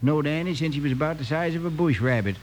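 An American actor playing a sleepy, slow-witted, folksy hotel clerk who stammers and dithers in an old-time radio western. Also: male voice, a little high, close to an everyday voice. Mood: dithering. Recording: hissy old radio broadcast recording.

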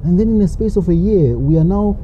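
Dark-voiced woman explaining in an interview. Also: fairly low pitch, expressive, brisk. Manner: explanatory, rhetorical questions. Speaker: literacy advocate guest. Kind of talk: interview answers. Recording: dull lo-fi with faint noise.

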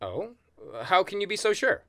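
An earnest paranormal hotline agent in an audio comedy. His voice is high and animated, with the courteous, deferential manner of customer service. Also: normal speed, exaggerated voice. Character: polite, earnest ghost-removal hotline agent. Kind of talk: audio comedy sketch acting.

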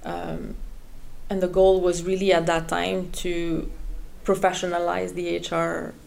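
A woman's voice: lowish voice, monotone, a little slow, vocal fry, a little mellow. A middle-aged woman from French Canada with a French Canadian accent, speaking English as a guest with many fillers and repeated words. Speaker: head of HR, guest. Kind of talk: interview chat.

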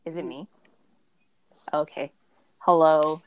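A nineteen-year-old woman with a low voice who speaks slowly, joking with friends on a podcast. The sound is dry, with no room echo. She teases and laughs easily. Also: flat delivery, smooth. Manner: playful, teasing, laughs easily. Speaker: podcast co-host. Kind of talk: casual podcast chat.

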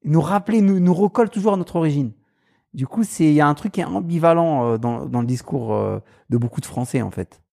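A man's voice: a little high, fast talker, vocal fry. A man with a Paris suburban accent, telling stories in a casual chat and mimicking other people's voices. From Paris suburbs, France. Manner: animated, mimics voices in anecdotes, laughs often. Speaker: guest. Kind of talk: casual storytelling.

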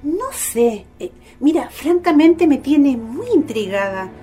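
A woman playing a kindly, gently intrigued mother in a radio drama. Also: high voice, sing-song, slow pace, faint music behind, heavily acted. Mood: intrigued, confiding.

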